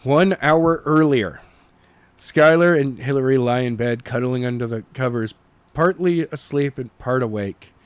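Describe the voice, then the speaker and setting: A man reading a story aloud as an amateur narrator, halting and stumbling over the text now and then. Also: medium pitch, clean tone, no room sound.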